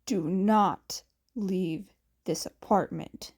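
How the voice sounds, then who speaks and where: A woman voicing a stern, blunt grown man who gives terse, flat orders in a story reading. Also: on the low side, extremely slow, slightly rough, voice pushed down, full character voice. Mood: commanding. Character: stern, gruff adult man, blunt reluctant guardian.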